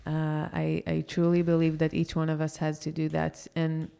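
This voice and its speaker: A woman's voice: low-pitched, monotone, moderate pace, gravelly, warm tone, clear, resonant tone. A woman from Israel, a yoga teacher and podcast guest, speaking thoughtfully and hesitantly with many fillers in a casual interview.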